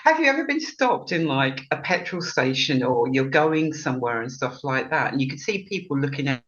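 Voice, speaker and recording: A woman's voice: a bass voice, animated, a little fast, a bit bright, dull audio. A woman co-hosting a podcast, asking her guest questions in a playful, giggly way. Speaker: podcast co-host. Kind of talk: casual interview chat.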